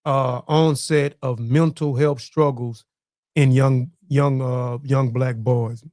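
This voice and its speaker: A man's voice: medium pitch, steady tone, darkish tone, no echo. Male podcast host, dry close sound. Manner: measured, asks questions with fillers. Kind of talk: interview conversation.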